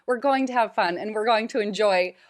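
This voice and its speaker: A woman co-hosting a podcast who talks with excitement and laughs often while chatting casually. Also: medium pitch, a little fast. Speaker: podcast co-host, mother. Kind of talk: casual chat.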